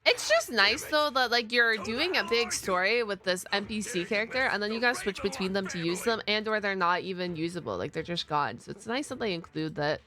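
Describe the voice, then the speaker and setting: A woman streaming a video game, chatting casually and informally with excitable, exclamatory reactions. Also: medium pitch, brisk, hardly any pauses, noisy background. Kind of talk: casual live reaction chat. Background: game audio and music.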